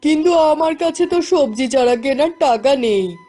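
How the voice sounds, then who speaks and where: A woman's voice: sits high, animated, normal speed, dark tone, faint music behind. A woman voicing a poor, weary male village grocer in a cartoon.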